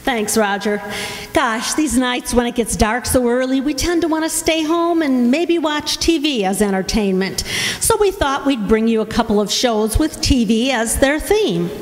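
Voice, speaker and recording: A woman's voice: a little high, animated, moderate pace. A woman announcing upcoming shows from a stage in a chatty, scripted promotional style. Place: auditorium stage, live. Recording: reverberant auditorium.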